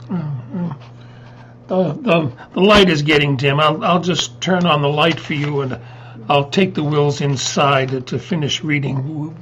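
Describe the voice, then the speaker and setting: A man's voice: on the high side, unhurried, a bit bright. A man playing a timid, polite funeral director in a comic readers theater piece, stammering and hesitant with flustered courtesy. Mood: nervously polite. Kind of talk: comedic readers theater acting. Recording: dull muffled audio with faint hum.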